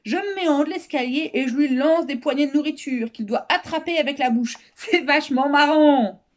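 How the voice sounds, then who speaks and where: A woman's voice: high voice, brisk, voice pushed up, close to an everyday voice. A woman voicing a brash, mischievous young storybook girl with a slangy, boisterous manner. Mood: gleeful. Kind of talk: storybook character voice.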